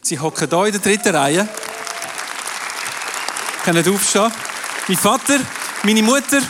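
High-voiced Swiss preacher in a big hall. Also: male voice, lively intonation, a little slow, noisy background. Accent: Swiss German. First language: French. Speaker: pastor. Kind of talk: sermon. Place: large hall with audience. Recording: distant voice in a reverberant hall with crowd.

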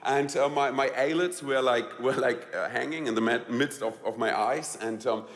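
A man with a German accent tells a personal story to a live audience, halting and repeating words, laughing often and asking the audience questions. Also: mid-range voice, in a large hall. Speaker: speaker telling a personal story.

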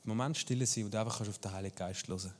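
A man's voice: fairly low pitch, very fast, in a large hall, a little hiss or hum. A man preaching a sermon and leading a guided prayer, earnest and searching, with small restarts.

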